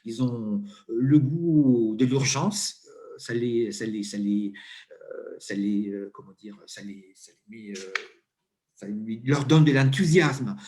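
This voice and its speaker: A middle-aged man with an Italian accent, speaking French as an interview guest and pausing often with fillers and restarts. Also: medium pitch, darkish tone. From Italy. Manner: hesitant, many fillers and restarts. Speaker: interview guest, coach and HR consultant. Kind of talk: conversational interview.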